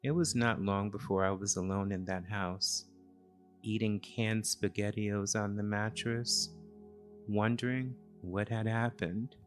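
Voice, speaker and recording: A man narrating a scripted first-person story in a solemn, reflective, measured way. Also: lowish voice, steady tone, soft background music.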